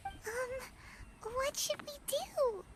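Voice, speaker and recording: A woman voicing a tiny childlike flying companion in a video game, squeaky, anxious and hesitant. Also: lively intonation, very slow, a little hiss or hum, full character voice. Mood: worried.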